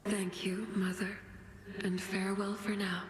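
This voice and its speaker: Casting: woman playing dutiful moon goddess daughter, very even delivery, formal, reverberant hall with music. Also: medium pitch, a little slow, close to an everyday voice. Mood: solemn, dutiful. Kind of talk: video game dramatic acting. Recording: echoey hall with music behind.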